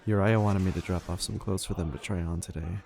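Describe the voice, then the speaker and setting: Man acting as cheeky supernatural associate, audio drama, deep, gravelly fry, wry, casual, slangy. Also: steady tone, quick pace. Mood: casual, upbeat. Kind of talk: audio roleplay drama, conversational. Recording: loud crowd chatter behind voice.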